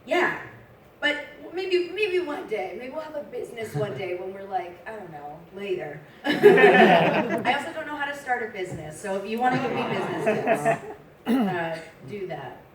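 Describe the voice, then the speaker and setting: A woman with a raspy voice, a voice actress, answering fan questions in a casual, self-deprecating way. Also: medium pitch, very animated, moderate pace, a loud crowd. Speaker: voice actress, convention guest. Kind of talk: panel Q&A answers. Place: large convention hall. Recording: echoey hall, distant mic.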